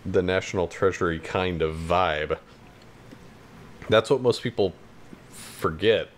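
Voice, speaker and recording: A man co-hosting a casual podcast conversation, making his points in a halting, measured way. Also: low-pitched, slight background noise. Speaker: podcast co-host.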